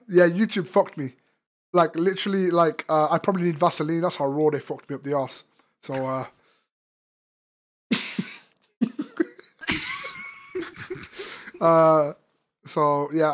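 Hoarse man in crude, teasing banter. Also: on the high side. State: strained tired voice after illness. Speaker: VRChat streamer. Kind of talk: casual chat. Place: online virtual-reality social game.

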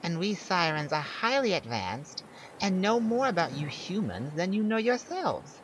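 A performer acts out a proud male siren of the sea in an ASMR audio roleplay. The delivery is slow and theatrical, with a widely swinging melody as the siren teases a single listener. Beach ambience sits clearly behind the voice. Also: mid-range voice, low-quality recording. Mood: haughty. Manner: theatrical, unhurried, teasing, addressing one listener. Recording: steady background noise of beach ambience. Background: beach and ocean sounds.

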